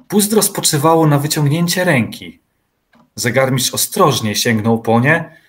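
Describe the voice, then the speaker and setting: A man's voice: medium pitch, close to an everyday voice. Man as composed storyteller with music behind. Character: composed storyteller narrating an uncanny tale. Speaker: narrator. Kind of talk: scripted literary narration of an audio drama.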